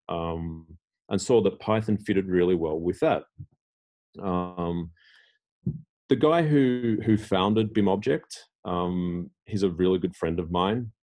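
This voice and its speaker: Deep-voiced middle-aged man, rambling. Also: warm tone. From Australia. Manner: rambling, many fillers and restarts. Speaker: tech executive guest. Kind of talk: casual interview talk.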